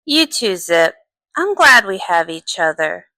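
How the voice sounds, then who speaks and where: A woman's voice: mid-range voice, sing-song, a little slow, bright, forceful tone, no echo, heavily acted. A woman voicing an earnest, bubbly young pony princess and pop star in a cartoon comic dub. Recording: faint music behind.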